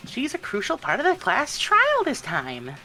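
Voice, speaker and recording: Wildly swooping, mischievous mascot creature. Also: female voice, high voice, wildly swooping pitch, moderate pace, sharp tone, faint music behind, exaggerated voice. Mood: gleeful, teasing. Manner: teasing, theatrical. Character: mischievous mascot creature, childish, sadistic, mock-polite master of ceremonies. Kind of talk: video game voice acting.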